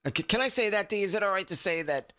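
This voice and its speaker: A man hosting a radio talk show, under the weather with a deeper voice than usual, chatting casually and rambling with lots of filler words. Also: expressive, fast, pushed and bright, clear, resonant tone. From Southwest Florida.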